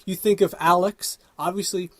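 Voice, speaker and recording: Slightly husky man, earnest podcast talk. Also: high voice, steady tone, normal speed, a bit bright, dry recording. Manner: earnest, analytical, leads with questions and summaries. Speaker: podcast co-host. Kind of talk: casual conversational film discussion.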